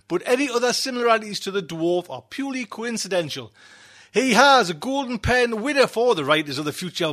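A man's voice: high-pitched, fairly bright tone. A man hosting a podcast casually, chatty and rambling, often repeating his phrases. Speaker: podcast host.

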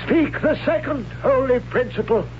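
A stern old high priest in a radio play. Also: male voice, normal speed. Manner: stern and instructive, reciting ritual. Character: stern old male high priest drilling his son. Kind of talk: 1970s radio drama acting. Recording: dull old radio broadcast with faint hum.

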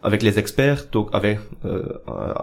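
A man's voice: lowish voice, normal speed, vocal fry. A young German-speaking Belgian man, a podcast guest, speaking French hesitantly and often searching for words. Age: young adult. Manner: hesitant, many fillers and restarts, searches for words. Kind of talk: political podcast discussion.

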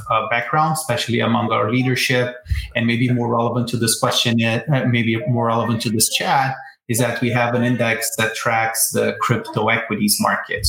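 A man's voice: medium pitch, vocal fry, fairly bright tone. A man from Brazil with a Brazilian accent, speaking conversationally as an expert guest in a panel interview. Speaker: guest, research director at an asset manager. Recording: roomy echo.

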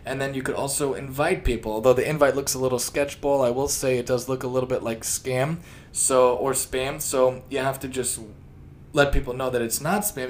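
Middle-aged American male podcast host, medium pitch, casual and enthusiastic, slightly noisy recording. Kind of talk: casual solo podcast talk, tip of the day.

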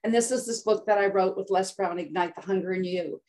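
An older American woman speaking as a podcast guest over a remote call, voice at an everyday middle pitch. She tells her story dramatically and acts out the words of others. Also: brisk, darkish tone. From United States. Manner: dramatic, acts out quotes with voice shifts. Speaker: guest health coach. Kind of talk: emotional storytelling in interview.

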